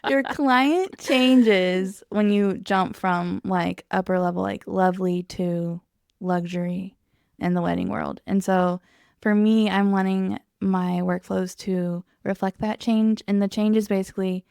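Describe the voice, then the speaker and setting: A woman's voice: medium pitch, steady tone, unhurried, creaky, a little mellow, smooth, no echo, clean studio sound. A young woman co-hosting a podcast, explaining things in a casual, conversational way. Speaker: podcast co-host.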